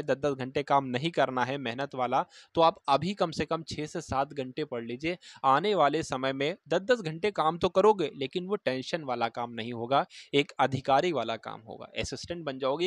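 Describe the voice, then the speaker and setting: A man with a moderately high voice talks to students as a coaching instructor. He explains in an informal way, often restating phrases to drive them home. The sound is very dry, with no room echo. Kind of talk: informal advice to exam aspirants.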